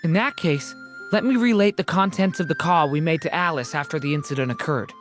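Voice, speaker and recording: An actress voicing a calm young woman traveler who recounts events plainly. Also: sits low, a little fast, soft background music, lightly acted.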